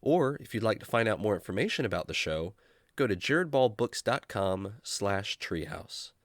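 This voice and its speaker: A man's voice: fairly low pitch, lively intonation, normal speed, dry recording, clean studio sound. Understated man reading a show sign-off. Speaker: show creator and producer. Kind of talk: scripted sponsor announcement and sign-off.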